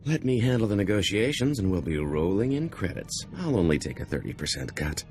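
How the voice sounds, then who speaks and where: A man's voice: on the low side, sing-song, fairly quick, a little mellow. A man playing a sly, greedy con artist in a video game, with a glib, smug, scheming way of talking. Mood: boastful. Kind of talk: video game cutscene acting.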